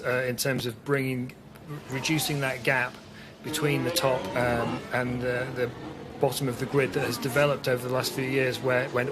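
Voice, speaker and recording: A man giving explanatory motorsport analysis, thinking aloud with hesitant, hedged phrasing. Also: medium pitch. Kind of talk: explanatory live commentary analysis.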